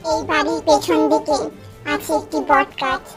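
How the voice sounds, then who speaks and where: A woman voicing a vengeful, restless ghost in a cartoon horror story, speaking in a slow, menacing way. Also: sits high, steady tone, soft background music, close to an everyday voice. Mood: brooding. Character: vengeful restless ghost haunting an old forest house.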